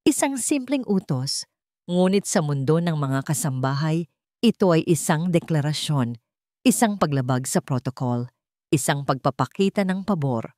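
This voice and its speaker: A woman narrating a scripted romance story in a measured, sentimental, dramatic way. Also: low voice, animated, darkish tone, no echo, clean studio sound.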